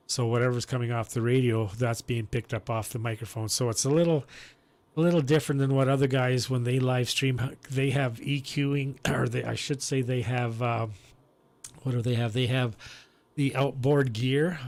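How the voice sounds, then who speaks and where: A man hosting a live-streamed amateur radio session, chatting casually and pausing mid-sentence, speaking into a close broadcast microphone. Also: mid-range voice, darkish tone.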